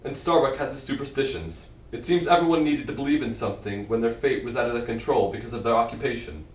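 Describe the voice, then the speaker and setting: A man's voice: mid-range voice, fast talker, slight background noise, natural voice. A man playing an earnest book club member in a comic puppet show, speaking in a plain scripted way. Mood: matter-of-fact. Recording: echoey room, distant microphone.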